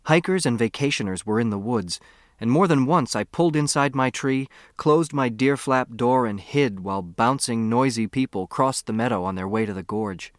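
An American man narrates an audiobook novel in the first person. His voice is medium in pitch, with an expressive, boyish storytelling manner. The recording is very dry, with no room sound. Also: moderate pace, dry recording.

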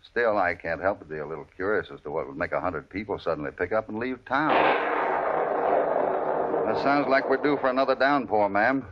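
An actor playing a calm, courteous cowboy hero with an American accent and a measured, folksy manner in an old radio western. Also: male voice, fairly low pitch, slight background noise, close to an everyday voice. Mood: curious, polite. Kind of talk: 1940s-50s radio western acting. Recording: dull vintage radio recording.

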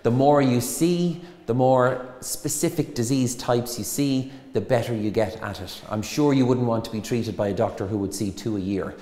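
A man in his forties with an Irish accent, a hospital consultant briskly explaining patient care in an interview, recorded on a lapel mic in a small stone-walled room. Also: medium pitch, mellow. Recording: echoey room with long reverb.

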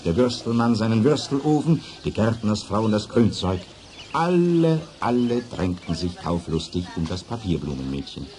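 A man's voice: mid-range voice, darkish tone. A man narrating a children's radio play as a storyteller. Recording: faint noise of vintage record.

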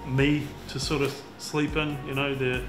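A young man with a New Zealand accent, chatting casually about a fishing trip, relaxed and full of fillers. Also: mid-range voice, unhurried. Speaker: fisherman. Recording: roomy sound with music behind.

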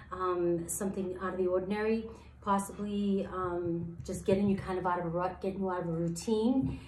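Casual tarot reader, woman in her thirties, mildly raspy, mid-pitched, filler-heavy delivery, echoey bare room with faint hiss. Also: a little mellow. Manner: rambling, thinks aloud with many fillers. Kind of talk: casual tarot reading to camera. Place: sparse room, indoors, seated at floor level.

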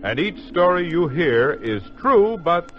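A man's voice: fairly high pitch, big pitch swings, a little slow. Male radio announcer, 1950s, dramatic scripted delivery, muffled recording, music behind.

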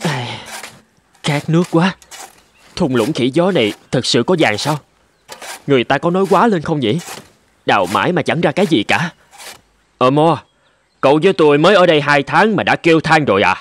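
A man's voice: fairly high pitch, expressive, moderate pace, pauses often, a little hiss or hum, lightly acted. A man playing a weary, grumbling gold prospector in cartoon dialogue. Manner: complaining.

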